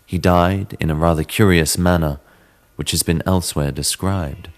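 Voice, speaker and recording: A man giving a measured, theatrical dramatic reading of a classic horror story as narrator, in the manner of a storyteller. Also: deep voice, steady tone. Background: sitar music.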